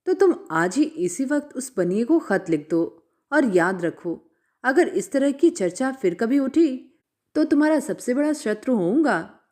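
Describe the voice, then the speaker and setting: A woman's voice: sits high, sing-song, measured pace, a little mellow. A woman playing a wrathful old Brahmin father. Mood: threatening. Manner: harsh, scolding.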